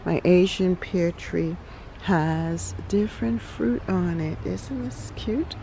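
A woman hosting a relaxed garden tour outdoors, talking reflectively with many pauses and ums. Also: lowish voice, gravelly, noisy background. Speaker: garden channel host. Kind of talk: casual garden tour vlog.

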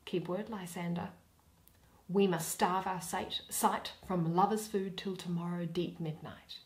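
A young woman reading a play in verse aloud, dramatically and expressively, pausing between phrases. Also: fairly low pitch, fairly even intonation. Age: young adult. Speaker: reader of a Shakespeare play. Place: small plain room indoors.

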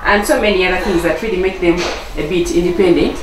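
Ugandan woman, centre staffer explaining her work, lowish pitch, picked up from a distance. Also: quick pace. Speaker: learning centre staff member. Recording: echoey noisy room, distant mic.

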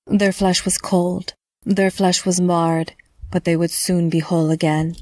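A woman narrating a scripted horror story in a measured, dramatic storytelling manner. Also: fairly low pitch, little pitch movement, warm tone, no echo.

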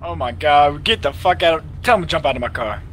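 A man in casual voice chat during an online game, loud, brash and swearing a lot. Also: fairly high pitch, animated, fast, a little hiss or hum. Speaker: gamer on voice chat.

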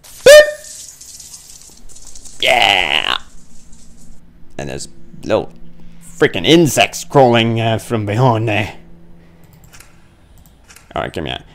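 Guy doing a lets play, slow talker w some vocal fry, grumbles and thinks out loud, playful. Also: fairly low pitch. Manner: animated, grumbling, playfully exclaiming. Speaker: gamer playing a horror game on his channel. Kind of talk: casual let's play commentary, thinking aloud.

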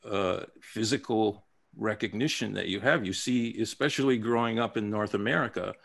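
A man in his fifties from Japan, a playwright talking thoughtfully over a video call, with many pauses and fillers. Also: lowish voice, gravelly, a bit bright. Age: 50s. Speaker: playwright guest. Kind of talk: casual interview.

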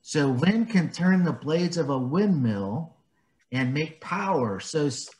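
A man reading a picture book aloud to young children, gently explaining it in simple words and asking them questions. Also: fairly high pitch, lively intonation, slow pace. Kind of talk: storybook read-aloud with explanations.

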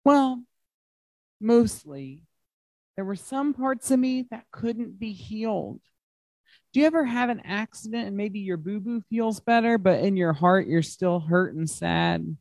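Slow-paced man reading to children. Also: sits high, animated, gravelly, darkish tone, no room sound, poor audio. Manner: asks the listening children questions, simple emphatic phrasing. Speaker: guest reader at children's story time. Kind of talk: expressive picture-book read-aloud to children. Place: large glass-walled library room.

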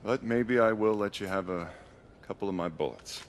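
A man's voice: on the low side, moderate pace, slight background noise, natural voice. An actor playing a straight-laced, protective older brother in a video game, earnest and pleading in his exasperation. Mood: exasperated. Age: young adult. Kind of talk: cinematic video game acting. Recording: dramatic music behind the voice.

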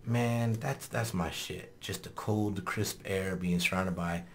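A man hosting a podcast in a small studio, talking casually, swearing often and laughing loudly. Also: sits low, a little slow, a little hiss or hum. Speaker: podcast host. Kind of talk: casual chat. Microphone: studio mic on boom arm.

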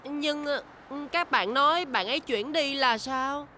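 A woman voicing a kind, earnest young talking bus in a children's cartoon dub, speaking gently and politely. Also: sits high, unhurried, a little hiss or hum. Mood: puzzled, concerned. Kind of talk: dubbed children's cartoon acting. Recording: loud music behind the voice.

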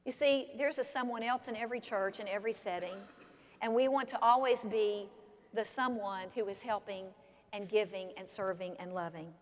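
An older woman pastor preaching an animated sermon from a pulpit in a large church sanctuary. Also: mid-range voice, moderate pace. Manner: animated, expressive preaching with gestures. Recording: long church reverb.

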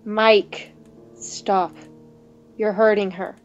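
A woman voicing a gentle young man in a visual novel, concerned and keeping the peace. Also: mid-range voice, level tone, very slow, highs cut off, faint music behind. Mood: placating.

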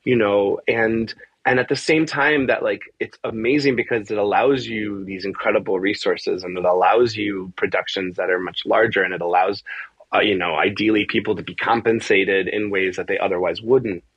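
Low, crisp-voiced man thinking aloud. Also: steady tone, fairly bright tone, no room sound, lo-fi sound. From born in Argentina, grew up in the US from age four. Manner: halting, repeats words, often checks in with "right?". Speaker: theater composer, music director and adapter. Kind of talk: conversational interview answer. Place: small bare room, indoors, video call.

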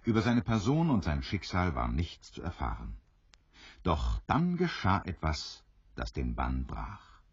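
A man narrating an adventure radio play as a grave, expressive storyteller who builds suspense. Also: deep voice, a little slow, breathy edge, no room sound, full character voice. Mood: suspenseful. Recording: slightly dull, dry studio recording.

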